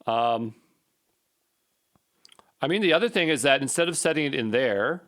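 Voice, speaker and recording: A man's voice: medium pitch, animated, normal speed, a bit bright. An informal man presenting a coding tutorial in a mid-range voice. He talks through each step as he goes, often muttering to himself in a casual, thinking-aloud way.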